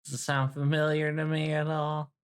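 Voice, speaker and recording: A woman's voice: sits low, flat delivery, moderate pace. A woman improvising as a player in a tabletop role-playing game, with a flippant, slangy, hesitant way of talking. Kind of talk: casual improvised in-character chat.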